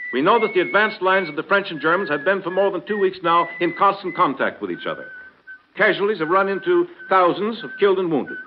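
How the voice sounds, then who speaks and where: High-pitched formal newsman, noisy old radio. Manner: formal, rhetorical. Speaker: news commentator. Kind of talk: 1930s radio news commentary. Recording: muffled, noisy 1939 radio broadcast.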